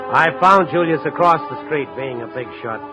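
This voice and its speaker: An actor playing a tough, dogged night-beat newspaper reporter in a 1950s radio drama, narrating and talking in a terse, urgent, hard-boiled way. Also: male voice, on the high side, normal speed, over loud music, close to an everyday voice, low-quality recording. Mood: brooding narration.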